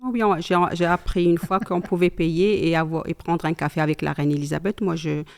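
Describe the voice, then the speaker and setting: A middle-aged woman from Algeria talks over coffee about her past and her children. Her voice is mellow and relaxed, and she tells her memories calmly and thoughtfully, with pious asides. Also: on the low side, a little fast, vocal fry. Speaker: mother sharing memories. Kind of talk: casual chat.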